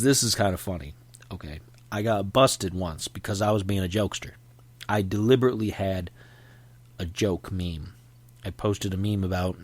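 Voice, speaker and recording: A middle-aged man hosting a solo podcast, sniffly from a cold, rambling and opinionated with frequent restarts. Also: low voice. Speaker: podcast host.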